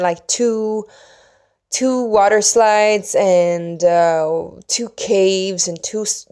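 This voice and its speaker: A woman from Sweden with a slightly low voice speaks English as a second language. She tells a personal story in a casual, reflective way. Her delivery meanders, with pauses and hushed asides. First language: Swedish. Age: adult, mother of young children. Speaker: vlogger telling a personal story.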